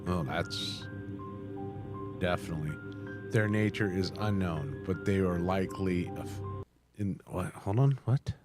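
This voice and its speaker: A man with a deep voice reads text aloud for a reaction video. He halts and repeats phrases, dropping in casual remarks. Music plays clearly behind him. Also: measured pace, pauses often. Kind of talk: reading on-screen text aloud with casual commentary.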